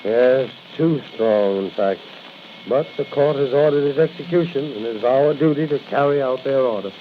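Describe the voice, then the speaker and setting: An actor playing a stern, dutiful prison warden with clipped authority, in 1940s radio drama on a hissy recording. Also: male voice, mid-range voice, normal speed, highs cut off, lightly acted. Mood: businesslike, grave.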